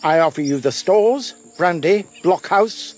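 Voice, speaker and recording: A man playing a proper, resolute gentleman doctor in a period audio adventure, speaking formally and precisely. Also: high voice, lively intonation, a little slow, soft background music. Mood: firm negotiating.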